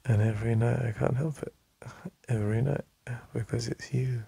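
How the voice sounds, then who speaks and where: A man with an English accent playing an affectionate, teasing boyfriend in an intimate ASMR roleplay, murmuring in a deep, breathy voice. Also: fairly even intonation, dark tone, no room sound, heavily acted. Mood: adoring, intimate. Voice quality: deep, breathy, husky, gravelly. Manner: slow, murmuring, with sighs and lingering pauses.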